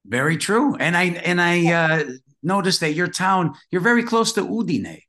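A man's voice: high-pitched, expressive, moderate pace, bright, forceful tone. A man hosting a podcast, welcoming his guest and asking questions with enthusiasm. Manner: enthusiastic, promotional. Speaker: podcast host. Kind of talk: podcast interview.

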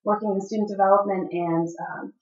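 A woman's voice: medium pitch, fairly even intonation, brisk. A middle-aged woman from the Chicago area, bilingual in English and Italian, speaking as an interview guest in an earnest way with frequent fillers and restarts. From Chicago area, United States. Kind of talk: casual interview conversation. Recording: dull, roomy sound from a distance.

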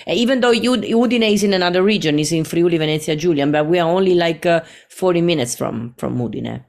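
A woman from Italy with an Italian accent, a singer-songwriter talking as a guest in a casual, animated interview. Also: lowish voice, quick pace, gravelly. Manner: animated, rambling, laughs easily.

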